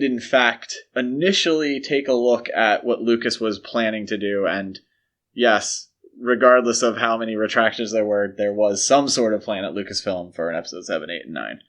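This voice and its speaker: A man's voice: mid-range voice, fairly bright tone. A man co-hosting a podcast, talking through film franchise history in a conversational, explanatory way. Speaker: podcast co-host. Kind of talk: casual film franchise discussion.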